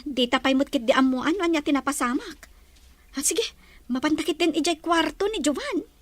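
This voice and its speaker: A woman's voice: high-pitched, moderate pace, dry recording, close to an everyday voice. An actress playing a young woman friend who asks questions and reassures in a radio drama. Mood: reassuring.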